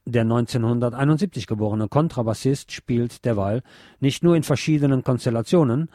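A man's voice: medium pitch, brisk, dark tone, dry recording. Man presenting music on radio, dry close sound.

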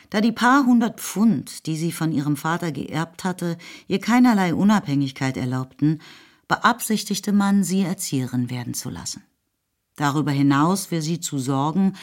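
A woman narrating a literary radio drama in a measured, composed way. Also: sits low, darkish tone.

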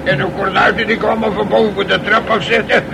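An actor playing a cocky, rough rogue and brawler in an old radio drama, talking in a blustering, colloquial way. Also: male voice, high-pitched, level tone, fairly quick. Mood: boastful. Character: cocky, rough, hard-drinking male brawler, cheerful rogue. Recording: noisy old radio play recording.

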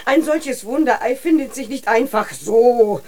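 A woman's voice: fairly high pitch, unhurried, a little mellow, lightly acted. An actress playing a grand, emotional mother who insists she is a queen, in a German children's radio drama. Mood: defiant, wounded. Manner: melodramatic, imperious.

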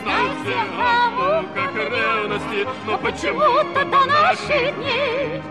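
A woman's voice: very high-pitched, sing-song, slow, heavily acted. An actress playing a teasing village sweetheart of a jealous man in a musical comedy. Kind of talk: musical comedy acting and singing. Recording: music behind the voice.

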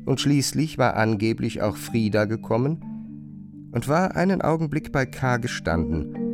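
A man narrating a literary radio play from a script, in measured, carefully phrased long sentences on a close studio microphone. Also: mid-range voice.

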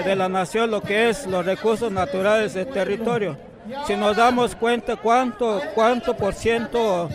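A man, a teacher at a street protest, speaking emphatically and at times almost shouting. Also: high-pitched. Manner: emphatic, restarts often, rising to near shouts. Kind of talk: impassioned street interview. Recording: crowd noise on location. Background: crowd of protesters.

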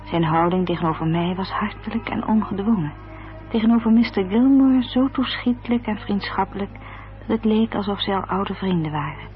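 A woman playing a composed, observant gentlewoman, narrating a radio drama in a measured, formal, literary manner. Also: mid-range voice, soft background music, lightly acted. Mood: grave, reflective. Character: composed, observant English gentlewoman recounting events. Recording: dull old radio recording.

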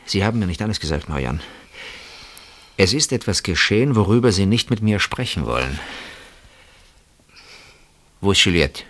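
A suspicious husband in a radio play. His voice sits fairly low yet swings widely as he presses his questions. The delivery is dramatic, agitated and insistent. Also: sing-song, normal speed, long pauses, a bit bright, full character voice. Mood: stern, pressing. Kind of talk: German radio play acting.

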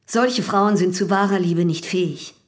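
An actress playing a calm, unashamed young widow in love, in a German radio drama. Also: female voice, lowish voice, normal speed, close to an everyday voice. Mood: coolly dismissive. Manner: composed, unashamed.

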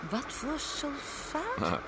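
A woman's voice: huge pitch swings, drawn-out words, full character voice. An actress playing a genteel older lady in a radio drama, hesitant, questioning and incredulous, curious yet easily shocked. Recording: noisy recording with vehicle rumble. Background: train ride noise.

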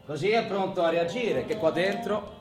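An actor playing a long-serving, solitary, sardonic prison inmate obsessed with order, in a colloquial, profane theatrical monologue. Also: male voice, high voice, music in the background, lightly acted. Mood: emphatic, rigid. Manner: swings from muttered confiding to barked, drawn-out announcements.

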